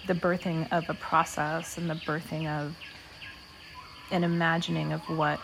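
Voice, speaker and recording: Lower-voiced woman guiding a meditation, nature noise behind. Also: flat delivery, creaky, fairly bright tone. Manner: measured, deliberate, speaking in short phrases with pauses. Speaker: guided meditation host. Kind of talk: guided meditation talk, spoken reflectively.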